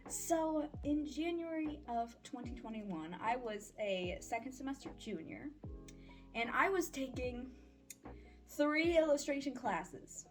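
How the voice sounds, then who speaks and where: A young woman with a fairly high voice talks casually to her followers over background music. She is candid and slangy, with a playfully sarcastic edge, in the loose style of a personal update vlog. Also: animated, a little slow. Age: 20s. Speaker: vlogger and voice-acting audio creator.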